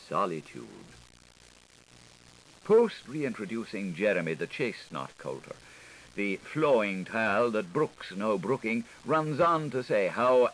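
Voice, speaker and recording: A man's voice: on the low side, a bit bright, poor audio. Theatrical male audiobook reader, swooping intonation. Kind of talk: theatrical literary narration of experimental prose. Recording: hissy older studio recording.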